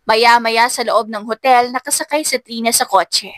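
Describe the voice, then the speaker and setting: A woman's voice: on the high side, fairly even intonation, normal speed, fairly bright tone, no room sound. A woman narrating a scripted audiobook story in an emotive, dramatic manner.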